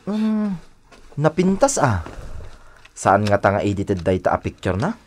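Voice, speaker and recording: A man's voice: lowish voice, huge pitch swings, a little slow, slight background noise. A man playing a teasing, skeptical best buddy with exaggerated intonation in an Ilocano radio drama.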